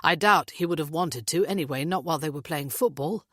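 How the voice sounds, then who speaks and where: A woman voicing a calm man who explains things in an even, reasoning tone. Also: sits low, brisk, no echo, clean studio sound.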